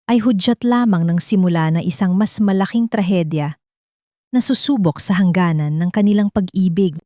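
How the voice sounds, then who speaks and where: A woman's voice: medium pitch, mellow, no room sound, studio quality. A woman with a Filipino accent reading a dramatic scripted story as narrator.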